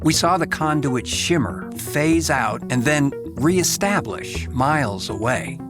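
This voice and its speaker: An actor playing a steady pilot who leads and narrates a pursuit in a scripted radio drama, measured but urgent. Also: male voice, fairly high pitch, over music. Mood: tense, measured.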